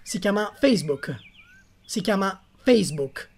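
A man's voice: high voice, animated, a little slow, a little hiss or hum. A young man dubbing an exasperated, pedantic and whining friend in an amateur comedy sketch. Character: exasperated young man losing his temper over a friend's mispronunciation.

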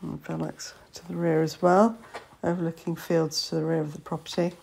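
A woman's voice: sits low, flat delivery, moderate pace, gravelly, a little mellow, breathy edge. A woman estate agent narrating a walkthrough of a house, listing its features matter-of-factly in short phrases. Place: inside an old house.

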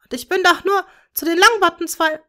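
A woman voicing a grieving dark witch mother, wailing and sobbing in a high voice. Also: steady tone, fairly quick. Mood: anguished. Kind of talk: fan fiction reading.

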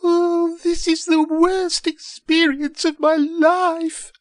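Man putting on a squeaky, dramatic little girl seed. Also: little pitch movement, slow, dry recording, clean studio sound. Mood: distressed, whining. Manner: plaintive, exclamatory. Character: dainty young female corn seed, dramatic, wide-eyed.

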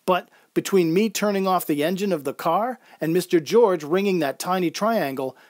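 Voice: medium pitch, moderate pace, a little mellow, no echo, studio quality, close to an everyday voice. A performer playing a wistful, proud circus clown in a reflective first-person audio drama monologue. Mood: quietly proud. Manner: reflective, descriptive storytelling.

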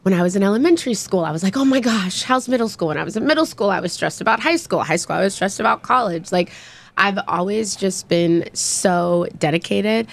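A woman in her forties from Texas, a podcast guest chatting in a bubbly, animated way in a small studio on a desk mic. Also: medium pitch, a little fast, creaky. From Texas, USA. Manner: bubbly, animated, laughing often. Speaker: podcast guest, brand founder. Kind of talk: casual interview chat.

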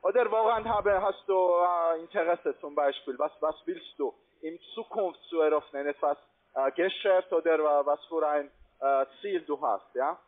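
A man with a foreign accent giving a pushy, repetitive phone sales pitch in halting non-native German. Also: fairly even intonation. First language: not German. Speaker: call centre investment salesman. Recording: tinny band-limited phone line.

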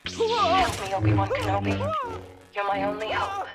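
A woman's voice: fairly high pitch, wildly swooping pitch, moderate pace, loud music behind the voice. A woman playing an earnest young princess in a game, pleading in a hologram message with a radio-like filter. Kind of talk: animated game cutscene acting.

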